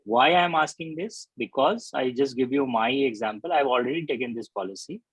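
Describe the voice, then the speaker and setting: A man on a video call asking deliberate, hesitant questions about a policy. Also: mid-range voice, fairly bright tone, slightly rough, dry recording.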